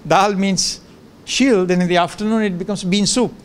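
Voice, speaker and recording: A man's voice: sits high, fairly even intonation, moderate pace, smooth. Chatty older man lecturing on a handheld mic. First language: Gujarati. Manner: chatty, jokey, many fillers and restarts. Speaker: professor giving a guest lecture. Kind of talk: informal lecture with asides. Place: large lecture room. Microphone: handheld microphone.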